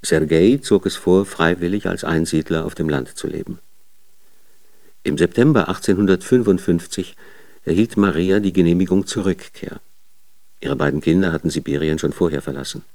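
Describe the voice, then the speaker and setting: A man's voice: sits low, moderate pace, mellow. A man narrating a scripted German radio drama in a sober, documentary manner.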